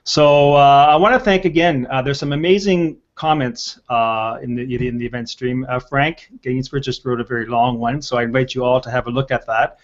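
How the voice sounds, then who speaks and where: A man's voice: medium pitch, fairly even intonation, moderate pace, hardly any pauses. A man in his sixties hosting a casual panel, hesitating often, over a lo-fi video call. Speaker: host of a panel video show. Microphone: headset on a video call. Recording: dull lo-fi video call audio.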